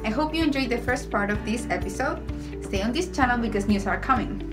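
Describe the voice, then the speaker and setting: A woman's voice: fairly high pitch, animated, a little fast, over music, close to an everyday voice. Teen girl playing a bossy TV cooking show host. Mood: presenting, blunt. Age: teens. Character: bossy, blunt mother hosting a TV cooking show. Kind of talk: amateur scripted TV show acting. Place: home kitchen and bedroom.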